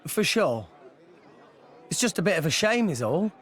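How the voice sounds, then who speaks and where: A man's voice: high-pitched, very animated, normal speed, fairly bright tone, husky, a faint crowd, exaggerated voice. A man with a British accent playing an earnest, eager young adventurer in a video game. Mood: casual, indignant.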